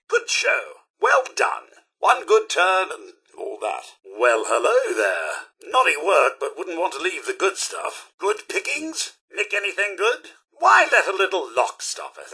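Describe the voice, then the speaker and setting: High-pitched, fussy British robot butler. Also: male voice, vocal fry, pushed and bright, husky, full character voice. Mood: hearty, approving. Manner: polite, exclamatory, prim and theatrical. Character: fussy, loyal, proper English robot butler. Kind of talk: video game companion voice lines.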